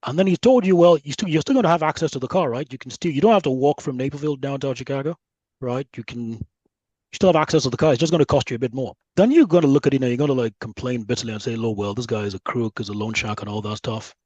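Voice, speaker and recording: A man's voice: medium pitch, fast, darkish tone, dry recording. A man with a Nigerian accent explaining his views as an interview guest, conversational, often checking in with the listener. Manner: conversational, often checks with "right?" and "you know". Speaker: guest researcher. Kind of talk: podcast interview, explaining with analogies.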